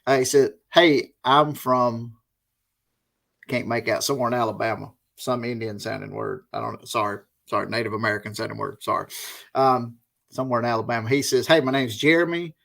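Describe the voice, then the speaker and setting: Gravelly Southern US man, folksy. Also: mid-range voice, brisk, a bit bright. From US Deep South. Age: middle-aged. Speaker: host, interviewer. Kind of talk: casual interview chat.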